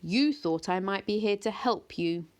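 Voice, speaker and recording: A woman's voice: medium pitch, expressive, measured pace, darkish tone, clean tone, natural voice. A woman voicing an ancient giant tree monster. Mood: grave.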